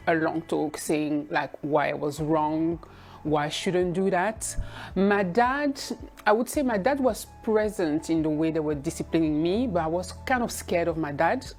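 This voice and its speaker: A woman from Burkina Faso, raised in Belgium, giving a measured, thoughtful account in an interview. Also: on the low side, darkish tone, soft background music.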